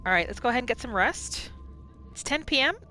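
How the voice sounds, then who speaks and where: A woman giving casual, chatty roleplay commentary as the host of a gaming channel, narrating in an expressive way. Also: a little high, fast talker, a little hiss or hum. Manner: expressive, chatty, narrating characters' thoughts in third person.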